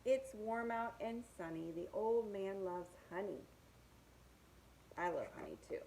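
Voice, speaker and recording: A woman reads picture books aloud for young children at a slow, unhurried pace. Her pitch sits in the middle for a woman, and she delivers rhymes in a sing-song way with simple asides to the listener. There is some background noise in the recording. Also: creaky, warm tone, poor audio.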